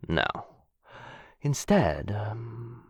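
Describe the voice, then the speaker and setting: Guy doing a low voiced dragon for fantasy asmr, super polite but possessive, talks down sweetly, really swoopy delivery. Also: very animated, very slow, husky, no room sound, clean studio sound, heavily acted. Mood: smug, teasing. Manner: mock-polite, coaxing, theatrical, condescendingly affectionate. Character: possessive male dragon, courteous captor doting on human pet. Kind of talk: boyfriend ASMR fantasy roleplay.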